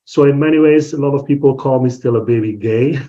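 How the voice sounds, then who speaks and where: A man's voice: mid-range voice, a little fast, dark tone, highs cut off. A man in his forties from Belgium, a podcast guest talking casually and thoughtfully, often restarting as he looks for words. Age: 40s. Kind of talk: casual interview chat.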